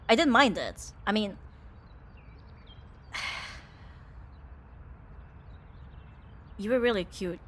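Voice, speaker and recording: A woman's voice: medium pitch, a little hiss or hum, natural voice. A woman playing a young tomboy roommate, affectionate but flustered, in an intimate ASMR romance roleplay with a hesitant, teasing delivery. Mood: flustered, sheepish. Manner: hesitant, teasing, breathy sighs and nervous laughs.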